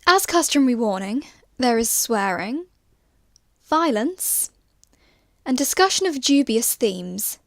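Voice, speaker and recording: Woman as high, formal ship systems voice. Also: big pitch swings, no echo, studio quality, heavily acted. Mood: detached. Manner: measured, formal. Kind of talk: scripted audio drama narration.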